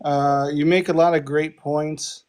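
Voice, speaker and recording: A man's voice: on the high side, level tone, measured pace, a little mellow, clear, resonant tone. A man calling into a live debate stream, speaking politely and hesitantly with many fillers. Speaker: caller. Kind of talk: call-in conversation.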